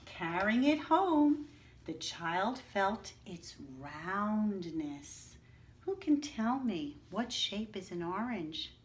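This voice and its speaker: A woman reads a children's picture book aloud to her class at an unhurried, careful pace. Her voice moves widely up and down as she makes each line expressive. She keeps stopping to explain things and quiz the children in a patient teacher's manner. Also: mid-range voice. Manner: slow, clear, expressive teacher voice, asking the class questions. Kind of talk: children's story read-aloud with teaching asides.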